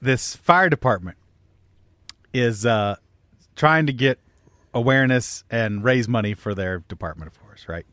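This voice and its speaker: Animated man telling a long joke. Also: mid-range voice, unhurried, long pauses, no room sound. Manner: profane, acts out quoted dialogue. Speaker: comedy podcast storyteller. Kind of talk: casual comic storytelling, telling a long joke.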